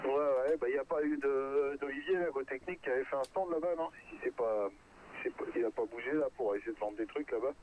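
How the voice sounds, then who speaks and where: A man's voice: mid-range voice, fast talker, narrow, tinny audio. Casting: man, mid-range voice, informal radio operator banter, light noise on the link. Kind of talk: casual radio chat. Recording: slightly noisy radio link.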